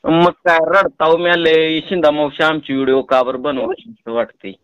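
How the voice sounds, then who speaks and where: A man with a noticeably high voice and a rural Marathi accent chats on a prank phone call. He coaxes and teases persistently, and the sound is dry and close. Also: flat delivery, unhurried, a bit bright, smooth, dry recording. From Tuljapur, Maharashtra, India. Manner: playful, coaxing, pestering. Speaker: prank caller.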